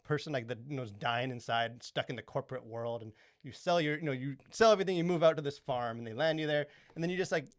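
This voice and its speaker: Casual male podcaster, rapid and rambling, close dry sound. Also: medium pitch, no room sound. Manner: rambling, halting, filler-heavy explanations. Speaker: podcast co-host.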